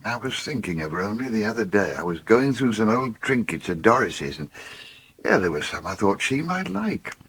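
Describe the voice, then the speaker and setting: An actor playing a sentimental old English farmer in a radio soap, with a husky voice and a halting, reminiscing manner. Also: male voice, on the low side, big pitch swings, fairly bright tone, heavily acted. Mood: tender. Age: older. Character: sentimental old farmer, wistful about bygone days. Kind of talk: naturalistic radio soap acting.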